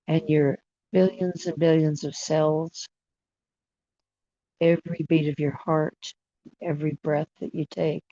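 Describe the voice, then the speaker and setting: A person leading a guided imagery meditation in a soothing manner, with long pauses between short phrases. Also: mid-range voice, warm tone. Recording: dull, dry lo-fi recording.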